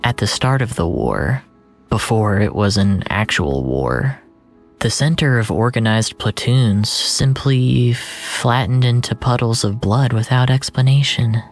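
Slow, hushed fiction narrator over music. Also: mid-range voice, expressive. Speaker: storyteller narrator. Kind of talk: literary first-person audio fiction narration.

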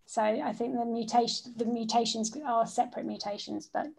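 A woman's voice: a little high, flat delivery, fairly quick, creaky, a little mellow, slight background noise. A woman speaking on a video call as a guest speaker, answering questions in a hesitant, casual way and laughing often. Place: home room indoors.

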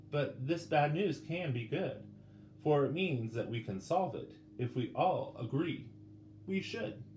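A middle-aged man reading a children's picture book aloud with dramatic pauses, turning to the listeners with questions. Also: medium pitch, darkish tone. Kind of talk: expressive children's storybook read-aloud. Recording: roomy indoor sound with faint background noise.

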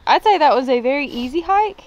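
A woman's voice: high-pitched, lively intonation, a little fast, a little mellow, dull audio, slight background noise. A young woman chatting casually while hiking, filming herself outdoors at arm's length. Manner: chatty, laughs often, talks to her dog. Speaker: vlogger. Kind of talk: casual hiking vlog chat. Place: outdoors on wooded mountain trails. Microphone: handheld camera at arm's length.

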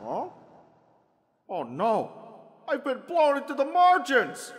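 A man playing a friendly, excitable, dopey young handyman who talks in dude slang in a cartoon comic dub. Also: very high-pitched, slow, very noisy, full character voice. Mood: bewildered. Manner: earnest, dopey, enthusiastic.

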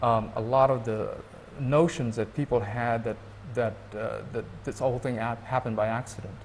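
A man from Hawaii, a guest in an interview, speaking thoughtfully and haltingly with many restarts. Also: mid-range voice, steady tone, slight background noise. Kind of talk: reflective interview talk.

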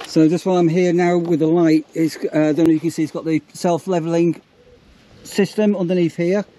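A man's voice: on the high side, level tone, fairly quick, warm tone, slight background noise. A man with a Manchester accent, touring a motorhome and admiring it with enthusiasm. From Greater Manchester, England. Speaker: vlogger, campsite warden. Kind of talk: casual walkaround tour. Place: outdoors at a campsite.

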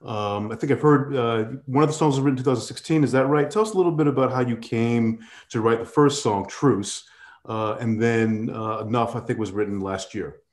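Middle-aged moderator in an echoey room. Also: male voice, medium pitch, a little mellow. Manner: measured, hesitant questions with many ums. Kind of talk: interview moderating on a video call. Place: home study with bookshelves, indoors. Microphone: video call. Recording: echoey room on a video call.

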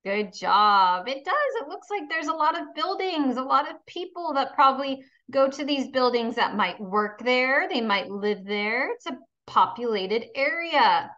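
Female kindergarten teacher, unhurried and bright, encouraging read-aloud delivery for little ones, slightly lo-fi sound. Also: sits high, expressive. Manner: encouraging, instructional, addressing young pupils with questions. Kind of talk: children's read-aloud lesson.

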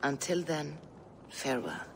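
An actor playing a solemn, cryptic Viking seer in a video game, with portentous delivery. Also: male voice, fairly high pitch, measured pace, gravelly, noisy background. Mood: solemn, foreboding. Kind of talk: dramatic game cutscene acting.

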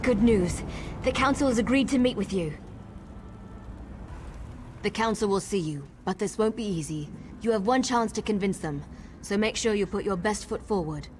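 Actress as female elf leader, mid pitch, earnest and urgent, fantasy game, faint noise behind. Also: expressive, moderate pace, darkish tone, close to an everyday voice. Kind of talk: fantasy video game acting.

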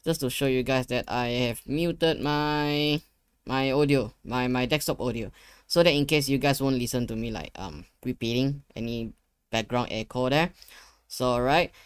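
A man's voice: mid-range voice, normal speed, smooth, dry recording. Young guy, walking viewers thru a setup, chatty n repeats himself, close dry mic. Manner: informal, repeats phrases, addresses viewers. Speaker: tutorial host. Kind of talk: casual screen-share tutorial. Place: indoor gaming room at a desk.

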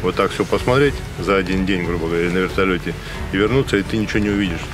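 A man's voice: sits low, racing, music in the background. A man explaining how a boat was built and used in a matter-of-fact interview. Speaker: traveler interviewed. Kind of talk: casual interview answers.

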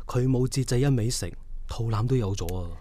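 A man's voice: medium pitch, fairly quick, mellow, dry recording, close to an everyday voice. A man playing a devoted, reassuring middle-aged husband in a radio drama. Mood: casual. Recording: prominent music behind the voice.

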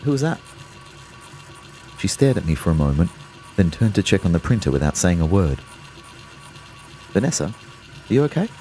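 An actor playing a reflective, concerned young man, telling his story in a measured, understated way in an audio drama. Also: low-pitched, sing-song, warm tone, faint music behind. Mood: concerned, hesitant. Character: reflective, concerned young boyfriend, a fitness fanatic. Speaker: narrator and lead character. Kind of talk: first-person audio drama narration and dialogue.

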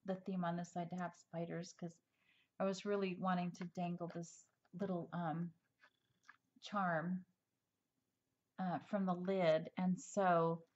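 A woman giving a casual craft tutorial at a table, chatty and rambling with frequent ums, slightly hoarse as she loses her voice. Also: on the low side, flat delivery, takes it slowly, long pauses, a little mellow. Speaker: crafter showing a handmade project. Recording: dull, lo-fi home recording.

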